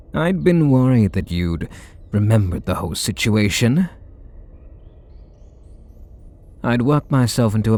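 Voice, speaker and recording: A brooding male super villain speaks in a fairly low voice, acted out by a man. He is sardonic and hides a deep devotion. The delivery is scripted ASMR roleplay, with dramatic pauses. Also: darkish tone, exaggerated voice. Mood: anxious, confessing.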